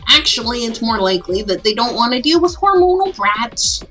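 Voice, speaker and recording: A woman's voice: a little high, very animated, moderate pace, bright, forceful tone, soft background music. A woman voicing a wisecracking, slacker male mentor from a story game in a teasing, mock-grand way. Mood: casual, teasing. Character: breezy, wisecracking male school counselor, playful slacker mentor.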